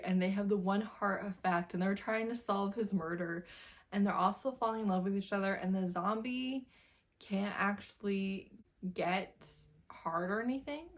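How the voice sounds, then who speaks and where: A woman casually reviewing books as a video host, chatty and informal, leaning on repeated phrases for emphasis. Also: mid-range voice. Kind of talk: casual book review chat to camera.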